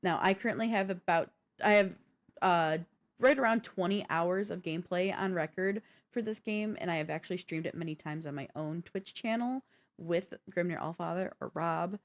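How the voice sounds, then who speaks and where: A woman's voice: lowish voice, moderate pace. A woman co-hosting a video game podcast, chatting casually and explaining things in an informal way. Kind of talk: casual gaming talk show chat.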